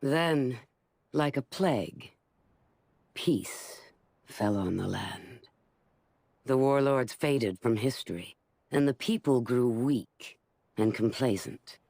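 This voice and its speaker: A woman voicing a ruthless, commanding female warlord in a game cutscene, speaking slowly and gravely with a hushed menace. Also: very deep voice, lively intonation, no echo, exaggerated voice. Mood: grim, menacing. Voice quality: slow, measured, grave delivery with hushed menace. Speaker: villain narrator. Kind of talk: scripted video game cutscene narration.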